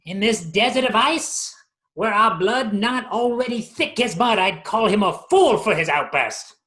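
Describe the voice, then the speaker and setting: A woman's voice: medium pitch, animated, measured pace, fairly bright tone. A woman voicing a hapless, wordy underling in a mock-archaic radio play, formal and theatrical. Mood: gloomy, grandiose. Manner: florid, theatrical.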